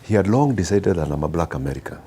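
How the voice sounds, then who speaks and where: A middle-aged man from Kenya with a Kenyan accent, guest on an interview podcast, telling anecdotes slowly with many fillers and restarts. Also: deep voice, lively intonation, noisy background. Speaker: guest executive.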